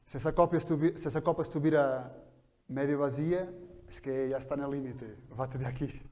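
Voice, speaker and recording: A man preaching a sermon in a church, his coming through his Spanish, swinging between quiet explanation and loud, fervent passages. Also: on the high side. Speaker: pastor. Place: church hall.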